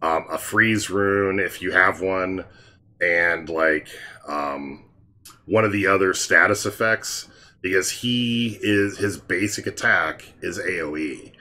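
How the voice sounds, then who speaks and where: Man, deep bright voice, relaxed game strategy talk, casual co-host chat. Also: slow pace. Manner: relaxed, explains strategy in detail. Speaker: gaming channel host. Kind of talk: casual gameplay commentary.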